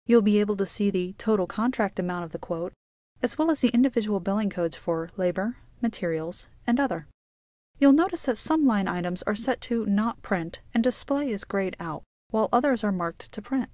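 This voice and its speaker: A woman reading scripted instructional narration for a software tutorial in a measured, explanatory way. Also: mid-range voice, no room sound.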